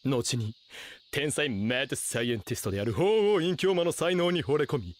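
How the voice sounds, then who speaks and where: An actor playing a pompous, delusional self-styled mad scientist in a Japanese anime radio drama, with a theatrical, scoffing delivery. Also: male voice, mid-range voice, moderate pace, natural voice. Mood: grandiose.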